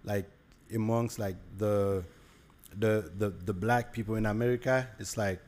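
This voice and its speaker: A man's voice: lowish voice, steady tone, measured pace, a little mellow. A young man with a Haitian accent, talking casually as a podcast guest, with many fillers and restarts. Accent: Haitian Creole. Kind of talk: casual conversation.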